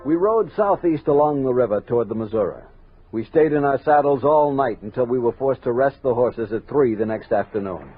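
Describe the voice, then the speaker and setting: An actor playing a resolute, reflective army captain, narrating a 1960s radio western with dramatic command. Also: male voice, mid-range voice, lively intonation, brisk, soft background music, close to an everyday voice. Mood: grave, steady. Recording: noisy tinny vintage 1960s radio recording.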